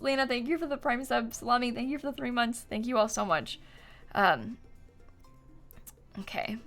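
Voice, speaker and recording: A woman's voice: on the high side, fairly bright tone. A young woman live game streamer chatting casually and informally with her viewers, with a giggly manner. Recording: music playing behind. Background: music, typing.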